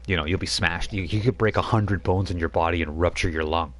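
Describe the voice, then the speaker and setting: A man acting as game master, explaining the rules in a steady, halting way with many fillers. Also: low voice.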